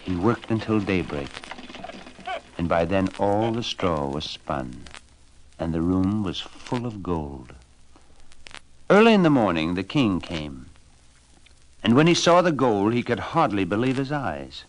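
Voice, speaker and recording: A man narrating a fairy tale as an audiobook reader, in an expressive, dramatic storybook style. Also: low voice, measured pace, long pauses, low-quality recording.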